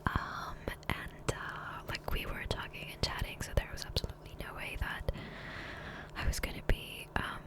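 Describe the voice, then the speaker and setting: A woman speaking in a soft, breathy whisper, rambling casually and unhurriedly in an ASMR gameplay voiceover on a close microphone. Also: moderate pace. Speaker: ASMR creator. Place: voiceover recorded separately from gameplay. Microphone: Blue Yeti USB microphone, close.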